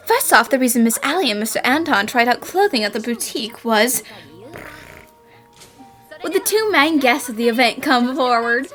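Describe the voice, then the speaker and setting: A woman playing a confident young female detective, methodically explaining deductions in an animated mystery fan dub. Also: a little high, moderate pace, faint music behind. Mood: assured explaining.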